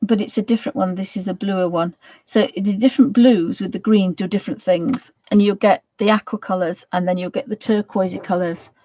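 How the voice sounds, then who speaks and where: Croaky woman chatting while painting. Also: medium pitch, steady tone, brisk, few pauses, mellow, dry recording. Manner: chatty and rambling, many ums. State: croaky voice from a cold. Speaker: art channel host. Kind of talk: casual painting tutorial. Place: home studio. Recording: dry, slightly dull sound.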